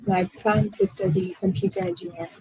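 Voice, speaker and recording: Young woman from Nigeria, mellow voice, a little hesitant, faint rumble behind her. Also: sing-song, fast talker, vocal fry, rough recording. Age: young adult. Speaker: university student panelist. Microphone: video call.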